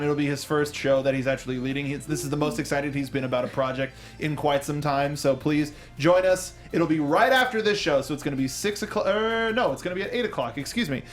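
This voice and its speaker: A man hosting a film discussion show in a casual, chatty, rambling way with joking asides. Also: on the high side.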